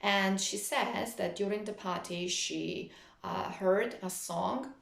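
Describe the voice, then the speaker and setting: A woman teaching literature in an explanatory lecture, speaking didactically with frequent hesitant pauses. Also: mid-range voice, vocal fry, fairly bright tone, roomy sound.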